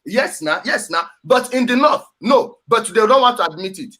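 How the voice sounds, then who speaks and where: A man's voice: sits high, lively intonation, normal speed, sharp tone. A man with a Nigerian accent hosting a heated political discussion, speaking emphatically and repeating his phrases. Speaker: host.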